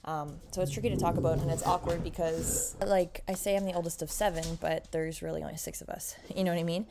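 A woman's voice: on the low side, fairly even intonation, fast, background noise. A young woman with a Canadian accent hosting a casual podcast, chatty and giggly, with lots of fillers and restarts. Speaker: podcast host.

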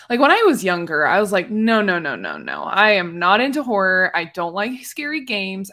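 A woman chatting casually as a podcast panelist, telling stories in an animated, giggly way. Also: mid-range voice. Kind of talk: casual chat.

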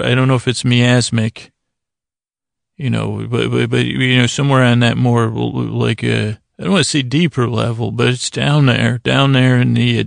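A man with a heavily fried, gravelly voice hosts a bedtime podcast in a dry, echo-free recording. His pitch sits in the middle range. He is gentle and soothing, wandering and stumbling through halting, self-interrupted thoughts meant to help people drift off. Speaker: podcast host. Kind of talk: rambling bedtime monologue to lull listeners to sleep.

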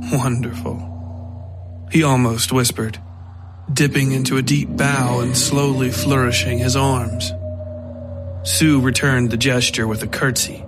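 A man reading a scripted horror story as its narrator. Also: medium pitch. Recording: music behind the voice. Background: music.

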